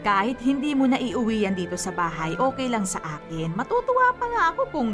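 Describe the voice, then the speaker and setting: A woman's voice: on the high side, sing-song, brisk. A woman playing a sprightly, teasing, fussing Filipino grandmother in a radio drama. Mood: teasing, insistent. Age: older. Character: teasing, sprightly grandmother eager to see her granddaughter married. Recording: music bed under the voice.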